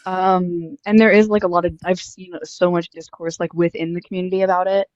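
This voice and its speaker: A woman's voice: on the low side, level tone, a little fast, darkish tone, no echo. Chatty young woman, muffled dry sound. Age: 20s. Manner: chatty, friendly, many fillers. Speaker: host interviewing strangers. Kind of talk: casual street interview.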